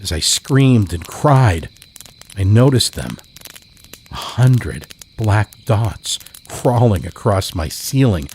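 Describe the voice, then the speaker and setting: A man narrating a horror story in a measured, deliberate way as a podcast host. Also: on the low side, animated, a little mellow, slight background noise.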